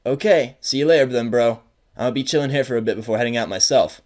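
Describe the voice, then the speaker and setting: Man as a relaxed, chill young pony mare, a bit raised in pitch, storybook reading. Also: fast, lightly acted. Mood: casual. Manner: easygoing, casual. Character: laid-back young female pony friend.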